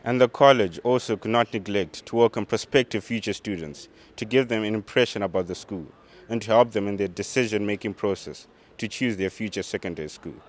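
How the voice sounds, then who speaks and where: A young man reports outdoors for a school newsreel, speaking into a handheld microphone. His scripted delivery is in a somewhat low voice. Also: brisk. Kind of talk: scripted piece to camera. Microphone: handheld mic with furry windscreen.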